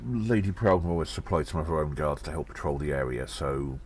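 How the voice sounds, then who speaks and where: A man playing a self-important, cheeky gnome undercover agent, delivering a deliberate and theatrical audio drama monologue. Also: extremely low pitch, expressive, darkish tone, breathy edge, heavily acted. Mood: grave, concerned.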